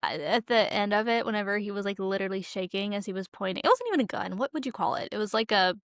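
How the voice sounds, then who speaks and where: A woman's voice: medium pitch, fast talker, clean tone, no echo, clean studio sound. A young woman co-hosting a podcast in casual chat, talking in a gushing, slangy way with dramatic emphasis. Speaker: podcast co-host.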